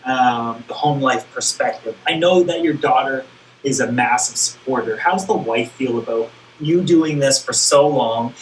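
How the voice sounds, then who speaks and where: A man's voice: mid-range voice, reverberant, far from the mic, slight background noise, poor audio. A man hosting a casual interview show, rambling through his questions with self-deprecating asides.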